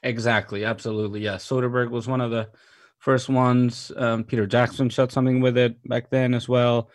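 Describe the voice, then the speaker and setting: A man from Mexico speaks in an unhurried, conversational way, pausing with fillers and circling back mid-sentence. His voice sits at an ordinary middle pitch and is recorded close and dry, with no room sound. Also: flat delivery, no echo. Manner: thoughtful, many fillers and restarts, enthusiastic. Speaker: cinematographer guest. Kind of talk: relaxed interview conversation.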